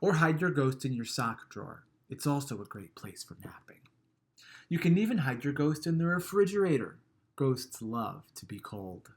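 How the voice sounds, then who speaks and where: A man reading a children's picture book aloud, gentle and expressive, letting line endings trail off softly and chuckling at the funny bits. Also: medium pitch, moderate pace, slight background noise.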